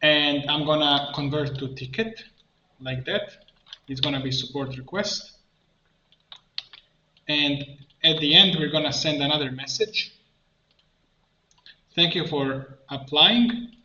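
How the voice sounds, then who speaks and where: A man presenting an improvised software tutorial, thinking aloud haltingly as he works at a computer. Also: on the high side, fairly even intonation. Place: indoor room at a computer. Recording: dull, echoey room, clear background noise. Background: keyboard typing and mouse clicks.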